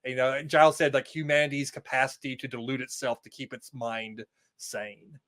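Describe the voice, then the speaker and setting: A man's voice: medium pitch, flat delivery, moderate pace, fairly bright tone. A man chatting casually as a podcast guest, explaining things in a rambling, stop-start way. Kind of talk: casual chat about a TV episode.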